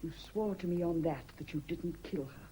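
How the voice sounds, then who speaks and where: A proud, scornful British mistress in a radio melodrama. Her voice is husky, and she speaks with biting, dramatic emphasis and betrayed intensity. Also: fairly low pitch, normal speed, mellow, low-quality recording. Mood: bitter, accusing. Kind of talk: melodramatic radio play acting.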